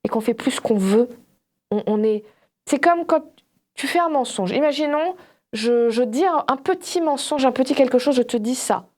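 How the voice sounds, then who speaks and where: High-voiced woman in casual religious discussion. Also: moderate pace. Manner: animated storytelling, acts out imagined quotes. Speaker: panelist on a religious discussion show. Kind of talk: casual explanatory Bible discussion.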